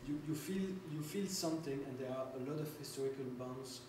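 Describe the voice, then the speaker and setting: A man's voice: mid-range voice, fairly quick. A Belgian man giving a thoughtful remark in a discussion, stammering and repeating words. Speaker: audience member in discussion. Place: large public hall. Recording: echoey hall, distant mic, hum.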